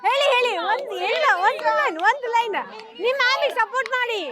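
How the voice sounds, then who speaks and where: Female college event host, Kannada accent, very high voice, rallying style, loud music and cheering crowd behind. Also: brisk, heavy background noise. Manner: urging, repeats short phrases to the audience. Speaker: host of a college game show. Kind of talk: lively event hosting, addressing a student crowd. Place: college event with a student audience.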